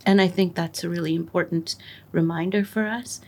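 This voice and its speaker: A woman interviewer reflecting and asking questions in a thoughtful, halting way, often restarting her sentences. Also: on the low side, steady tone, breathy edge.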